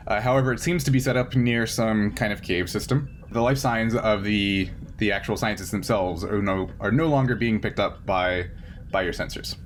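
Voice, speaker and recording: A man's voice: on the low side, moderate pace. A man running a tabletop game as game master, narrating in a casual, improvised, conversational way. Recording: faint background noise.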